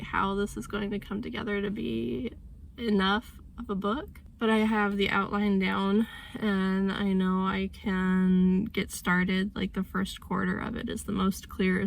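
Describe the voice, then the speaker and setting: A sick woman in her thirties talks casually and rambles as she thinks aloud. Her congested voice sits at a middle pitch with plenty of gravelly fry. A faint hum of room ambience runs behind her. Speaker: author vlogging her writing process. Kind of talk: casual vlog chat.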